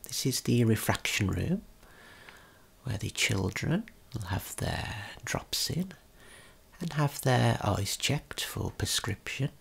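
A tour guide giving a gentle, unhurried ASMR tour in a breathy whisper, explaining things as they go. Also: medium pitch, very animated.

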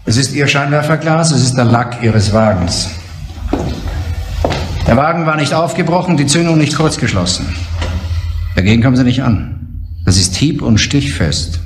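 A man's voice: mid-range voice, animated, normal speed, long pauses, close to an everyday voice. Man doing a curt prosecutor brushing off a suspect, echoey room, hissy old tape. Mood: dismissive. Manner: curt, dismissive, lecturing. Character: brusque male state prosecutor, dismissive.

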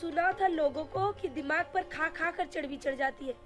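A woman's voice: high-pitched, normal speed, soft background music, natural voice. A woman playing a distraught old mother in a radio play, scolding, wailing and pleading melodramatically. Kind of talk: theatrical radio play acting.

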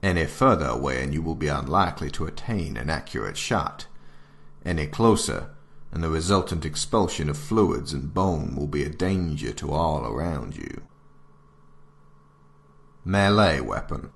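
A man playing a stern military handbook narrator, reading scripted instructions in a grave, measured, formal and authoritative way. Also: very deep voice, animated, vocal fry, full character voice. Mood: grave, instructive. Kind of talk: scripted narration of an alternate-history field manual.

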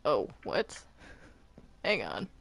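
A woman playing a defensive, flustered male captive in an improvised game roleplay, halting and stammering as they protest. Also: very deep voice, wildly swooping pitch, creaky, a bit bright, exaggerated voice. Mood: defensive, wary. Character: defensive reluctant captive Bendy, male cartoon demon, flustered.

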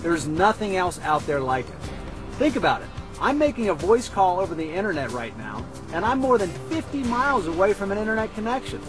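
American man, thirties, high voice, emphatic infomercial presenter, persuasive, music bed. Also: sing-song, brisk. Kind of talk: scripted sales pitch to camera.

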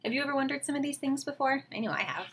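A woman, reading a picture book aloud to children with expressive, enthusiastic, chatty asides. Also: sits high, very fast, slight background noise. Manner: expressive, enthusiastic, questioning the listeners. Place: small home room, indoors.

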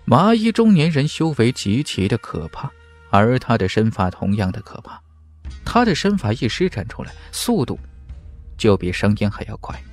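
Male audiobook narrator, medium pitch, highly animated, dramatic fantasy delivery with music behind. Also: moderate pace. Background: background music.

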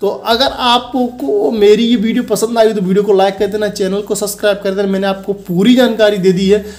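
A young man with a noticeably high voice narrates a casual tech tutorial. He talks straight to the viewer in an instructional way, going step by step and often checking that it is understood. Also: level tone, fairly quick, a little mellow, faint music behind. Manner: instructional, addressing viewers directly, with repeated check-in tags. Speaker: host of a phone tutorial channel.

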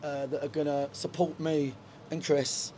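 A man's voice: a little high, little pitch movement, measured pace, mellow. A middle-aged man, a football manager, talking frankly in a post-match interview in a stadium dugout, with lots of fillers and restarts. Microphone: handheld reporter mic.

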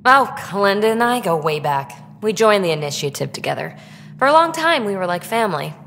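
A woman playing a breezy, excitable alien tinkerer in a video game, playful and flippant but guarded about her feelings. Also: medium pitch, gravelly, in a large hall, a little hiss or hum. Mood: breezy, dismissive. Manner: playful, flippant, quick to brush off. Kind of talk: video game dialogue acting.

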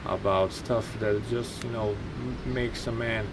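Young man, native Bosnian, mid-pitched, halting, outdoor noise. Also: fairly even intonation. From Bosnia and Herzegovina. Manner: thoughtful, halting with repeated words. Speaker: band drummer. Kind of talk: documentary interview. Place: outdoors by a graffiti wall.

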